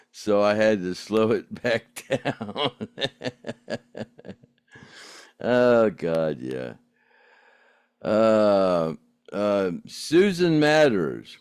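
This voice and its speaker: A man's voice: on the low side, darkish tone, clear, resonant tone, no echo, studio quality. An older man, chatting casually as a podcast host reading out viewer comments, rambling with frequent laughs and restarts. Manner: rambling, laughs often, many fillers and restarts. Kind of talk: casual chat.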